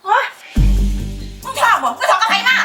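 A woman with an Isan accent playing a suspicious rural mother who scolds in coarse, rapid bursts. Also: lightly acted. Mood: scolding. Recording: roomy, distant, loud music behind.

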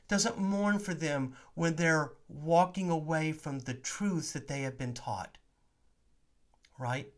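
A man's voice: fairly high pitch, a bit bright. A man in his forties, a priest giving an earnest, emphatic sermon-style teaching to a webcam in his home study. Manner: earnest, emphatic preaching with rising stresses.

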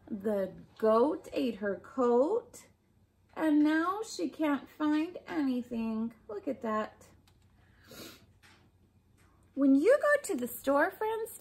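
Female storybook reader, forties, unhurried and very lively in intonation, talking directly to small children. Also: high-pitched. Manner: expressive, addressing young listeners with questions and asides. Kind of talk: children's picture book read-aloud. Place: small furnished room at home, indoors.

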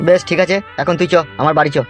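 A man's voice: a little high, flat delivery, very fast, natural voice. A man voicing an older village uncle in a comic cartoon, a henpecked peacemaker who coaxes and advises. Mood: coaxing. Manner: coaxing, advising. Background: faint music.

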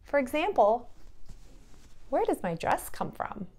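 Middle-aged female preschool teacher, unhurried delivery with big swings in pitch, plain phrases for little kids. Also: sing-song, darkish tone. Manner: simple deliberate phrasing with questions to young children. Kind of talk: children's lesson and read-aloud.

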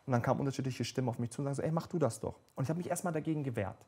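A young man on a TV studio sofa, recounting his path with quick, eager energy. His voice is slightly husky and sits at a middle pitch. He speaks into a clip-on mic in a calm studio set. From Germany. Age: young adult. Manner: fast, eager storytelling with animated hand gestures. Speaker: politician guest. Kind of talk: conversational TV interview. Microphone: lapel.

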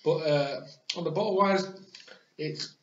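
A man's voice: on the high side, measured pace. A young man co-hosting a casual beer chat, rambling with fillers, recorded on a webcam in a small room. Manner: rambling, many fillers like "you know what I mean". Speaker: co-host. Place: small bedroom with posters, indoors. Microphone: webcam on desk. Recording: roomy, dull lo-fi webcam audio.

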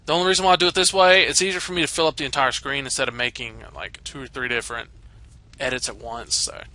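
A man's voice: medium pitch, fairly even intonation, fast talker, sharp tone, a little hiss or hum. A man hosting a let's play, giving casual, sweary gaming commentary. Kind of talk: casual gaming commentary, reading dialogue aloud.

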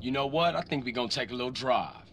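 A man's voice: mid-range voice, animated, quick pace, noisy background, close to an everyday voice. A man playing a streetwise, confident young American gangster in a video game cutscene, with slangy, informal speech. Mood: cocky, menacing.

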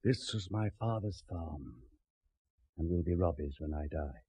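An actor playing a grave, gentle, loyal medieval steward in a 1970s radio drama, with a very deep, breathy voice. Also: male voice, dull audio, full character voice.

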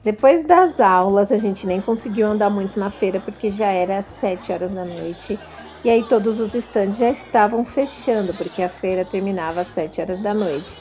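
Chatty Brazilian woman, mellow voice-over. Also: mid-range voice, moderate pace, soft background music. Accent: Brazilian Portuguese. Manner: chatty, addresses viewers directly. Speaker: vlogger and confectioner. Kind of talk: narrated vlog voice-over.

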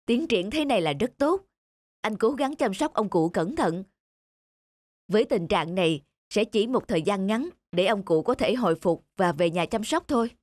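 A woman playing a conscientious, reassuring hospital doctor who explains and advises, in an animated moral story with music behind. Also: medium pitch, dry recording, natural voice. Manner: explanatory, measured advice-giving.

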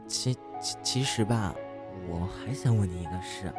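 An actor playing a playful yet wounded, proud young man in an expressive audio drama style. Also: fairly low pitch, moderate pace, loud music behind the voice, close to an everyday voice. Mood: hesitant. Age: young adult. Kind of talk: Chinese audio drama acting.

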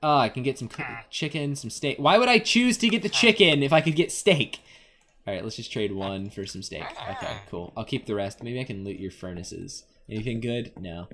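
Young male gaming host: playful, rambling Let's Play commentary with a lively, swinging pitch. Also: moderate pace. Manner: playful, rambling, talks to game characters and himself. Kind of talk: casual Let's Play commentary on an adventure game.

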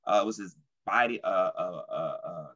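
A man's voice: low voice, normal speed, a bit bright, dry recording, dull audio. A young man talking informally and earnestly as an interview guest on a video call, often repeating his phrases. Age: young adult. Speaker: stage actor and guest. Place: indoor room at home, on a video call.

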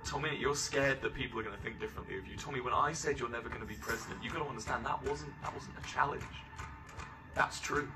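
A man's voice: medium pitch, fast talker, close to an everyday voice. Man acting an intense exiled leader, distant under music. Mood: taunting. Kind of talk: dramatic roleplay in an animated story.

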